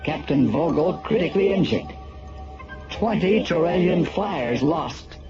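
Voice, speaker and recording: An actor playing a stern, scornful alien authority in a radio drama, speaking in a grave, measured, formal manner. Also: male voice, fairly high pitch, lively intonation. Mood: stern, accusing. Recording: dull lo-fi radio drama, music behind.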